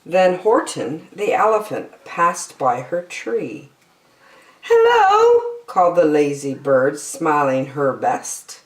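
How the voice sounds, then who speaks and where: A woman's voice: deep voice, slow pace, few pauses, reverberant, poor audio. A woman storyteller reading a children's picture book expressively.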